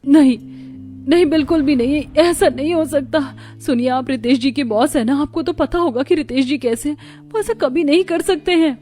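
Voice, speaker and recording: A woman playing a devoted, anxious young wife in an animated family story. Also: high voice, moderate pace, soft background music, close to an everyday voice. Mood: pleading.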